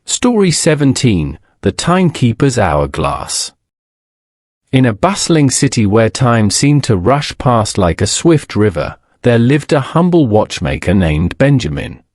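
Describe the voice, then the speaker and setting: A man reading a scripted bedtime story as a narrator, in a measured, solemn storybook manner. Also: mid-range voice, darkish tone, dry recording, studio quality.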